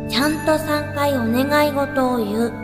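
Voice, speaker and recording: A woman's voice: sits high, unhurried, echoey room, big-room sound, over music. A woman playing an earnest, polite, small young male college student in an audio drama. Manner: polite, formal, soft-spoken earnest delivery. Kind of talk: audio drama monologue and dialogue.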